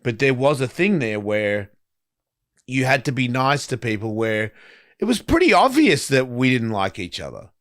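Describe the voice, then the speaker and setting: This is a man hosting a talk show in loose, casual conversation. His pitch swings around a lot as he tells anecdotes bluntly, swearing and playing out both sides of remembered exchanges. The sound is dry and close, with no room to it. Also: very animated, normal speed, fairly bright tone, no echo. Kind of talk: candid casual chat, anecdotes.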